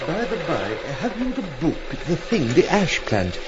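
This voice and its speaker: An actor playing a fussy, halting man in an audiobook play, with a husky voice. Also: a little high, wildly swooping pitch, highs cut off, noisy background.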